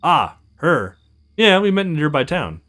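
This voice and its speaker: A man's voice: on the high side, sing-song, normal speed, a bit bright, faint music behind, voice pushed up. A man voicing an earnest, protective young male hero in a visual novel game. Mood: feigned outrage.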